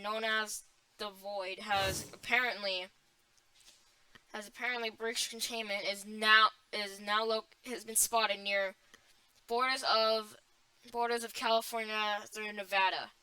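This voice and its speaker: A woman's voice: medium pitch, fairly even intonation, a little slow, bright, forceful tone, clear, resonant tone, no echo, poor audio. A woman playing a flustered emergency alert announcer in an amateur scripted broadcast, stumbling and restarting phrases. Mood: flustered, reporting.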